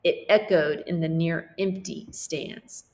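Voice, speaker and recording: A woman teacher reading a storybook aloud to pupils, pausing to explain things simply for children. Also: lowish voice, steady tone, darkish tone. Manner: explains simply, checking in with listeners.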